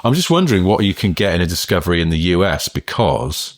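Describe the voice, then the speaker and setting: A man's voice: deep voice, big pitch swings, fast, no echo, studio quality. A man co-hosting a podcast in casual chat, musing and rambling with lots of hedging. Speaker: podcast co-host.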